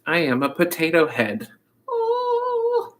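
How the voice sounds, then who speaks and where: A man's voice: high-pitched, wildly swooping pitch, takes it slowly. A man hosting a cooking livestream, rambling casually with playful, silly asides. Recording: echoey room.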